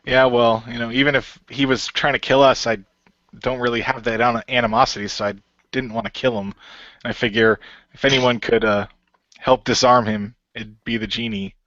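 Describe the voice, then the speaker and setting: A man's voice: mid-range voice, fairly even intonation, normal speed, fairly bright tone, dry recording, muffled recording, close to an everyday voice. A man voicing a goofy, easygoing shapeshifting hero in a hesitant, colloquial way for an amateur radio play. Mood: hesitant, reassuring. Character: David, laid-back goofy shapeshifting male hero, often a genie.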